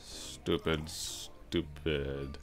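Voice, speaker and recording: Casting: male actor as tipsy, smitten male coworker for ASMR roleplay; extremely low voice, slow halting rambles, bashful and self-deprecating. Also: little pitch movement, full character voice. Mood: apologetic, woozy. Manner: rambling, halting, repeating himself. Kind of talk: intimate ASMR roleplay acting.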